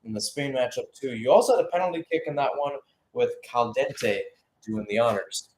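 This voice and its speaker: A man's voice: mid-range voice, expressive, brisk, a little mellow, low-quality recording. A man giving live radio-style play-by-play commentary on a football match, announcer-like and speaking in short phrases.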